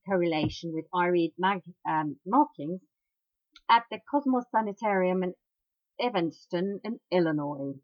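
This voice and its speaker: A woman's voice: on the low side, no room sound. A woman hosting a talk program, reading history with chatty asides and many fillers. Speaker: radio host. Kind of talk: informal talk on iridology history. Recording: dry, dull lo-fi sound.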